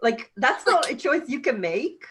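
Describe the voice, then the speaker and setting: A woman's voice: high voice, big pitch swings, a little slow, fairly bright tone, slight background noise. A woman talking informally in a casual book discussion.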